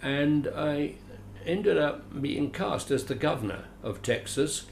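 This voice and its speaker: A man in his late seventies with an Australian accent, telling stories about his acting career in an animated, theatrical, chuckling way, recorded at home. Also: on the high side, takes it slowly, vocal fry. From Adelaide, Australia. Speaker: guest, veteran actor. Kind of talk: storytelling interview. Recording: roomy echo, faint hiss.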